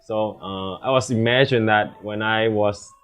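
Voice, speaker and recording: Young taiwanese bartender guy, lowish voice, kinda halting english, casual interview. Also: steady tone, fairly bright tone, clean tone. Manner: halting, hesitant non-native English. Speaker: bartender, competition contestant.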